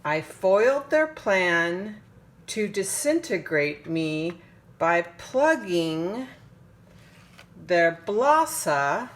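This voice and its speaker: A woman reading a children's picture book aloud in a dramatic, drawn-out way with suspenseful pauses. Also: medium pitch. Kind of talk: animated children's storybook read-aloud.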